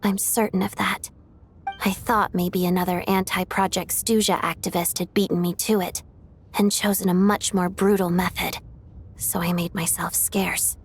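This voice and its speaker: An actress voicing a secretive, earnest female undercover operative in a video game, with a measured, confiding delivery. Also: fairly low pitch, little pitch movement, a little hiss or hum, lightly acted. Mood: grave, recounting.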